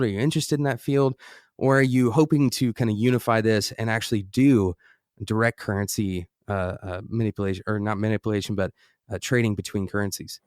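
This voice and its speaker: An American man hosting an interview podcast, speaking conversationally and haltingly, thinking aloud and rephrasing as he goes. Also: mid-range voice, no room sound, studio quality. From United States. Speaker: podcast host interviewing a guest. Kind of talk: conversational interview, long musing questions.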